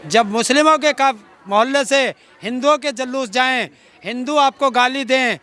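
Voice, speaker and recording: A man's voice: very high voice, moderate pace, sharp tone. A middle-aged man, speaking combatively and sarcastically in an outspoken street interview, full of rhetorical challenges. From Uttar Pradesh, India. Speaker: farmer activist being interviewed. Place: outdoors in a public place. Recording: outdoors with faint crowd behind.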